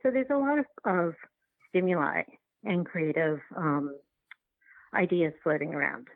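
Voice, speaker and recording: A middle-aged woman from New York City giving casual interview answers, reflective and halting as she thinks aloud. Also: on the low side, sing-song, no echo. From New York City, United States. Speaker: interview guest, documentary filmmaker. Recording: tinny phone-like line, dry.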